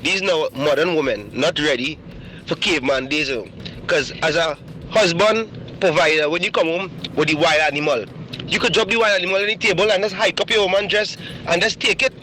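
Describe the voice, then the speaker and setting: A man's voice: on the high side, fairly quick, pushed and bright, slight background noise, low-quality recording. A man in a cheeky, joking voice message to a morning radio show.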